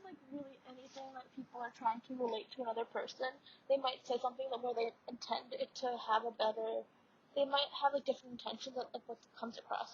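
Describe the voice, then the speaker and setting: A woman's voice: sits high, darkish tone, husky. A young woman from India, a student mentor chatting casually in a podcast discussion with lots of fillers. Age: young adult. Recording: dull lo-fi audio.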